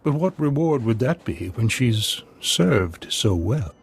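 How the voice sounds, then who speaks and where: An actor playing an old terrorist master in a video game, calm, smug and menacing, with a husky voice. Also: male voice, medium pitch, takes it slowly, a little hiss or hum. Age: older. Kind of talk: video game villain acting.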